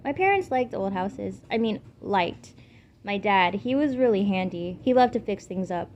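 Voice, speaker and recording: A woman voicing a polite, eager but uneasy teenage girl in a storybook, speaking gently and a little hesitantly. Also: medium pitch, animated, moderate pace, close to an everyday voice. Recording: clearly noisy amateur home recording.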